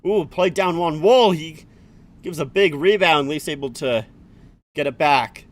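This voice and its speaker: A man streaming a live hockey watch-along, calling the play-by-play and chatting with viewers between plays. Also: fairly high pitch. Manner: rapid running play-by-play, swears, chats with viewers.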